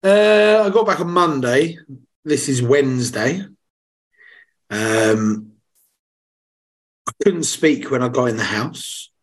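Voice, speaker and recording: A young man with a hoarse voice, chatting casually as a podcast co-host and telling rambling, sweary stories. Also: mid-range voice, animated, slow pace, pauses often. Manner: tired, rambling storytelling with swearing. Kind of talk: casual chat.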